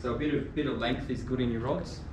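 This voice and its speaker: A man, giving relaxed, practical advice as shop staff inside a tackle shop, filmed from a distant camera. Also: mid-range voice, fast talker. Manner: relaxed, practical, frequent ums. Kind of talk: casual product advice. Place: indoor fishing tackle shop. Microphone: far camera. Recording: roomy shop with loud noise.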